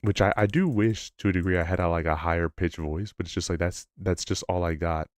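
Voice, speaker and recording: A man with a low voice chatting casually on a live stream, rambling and self-deprecating. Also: fairly quick, dry recording, studio quality. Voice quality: low-pitched voice. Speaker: livestreamer. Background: background music.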